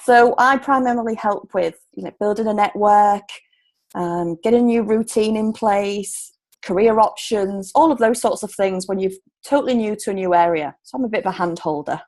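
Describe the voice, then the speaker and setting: A woman's voice: mid-range voice, normal speed, a little mellow. A woman in her thirties from Hull with a Yorkshire accent, chatting casually as an interview guest and laughing often. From Hull, Yorkshire, England. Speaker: guest, relocation coach.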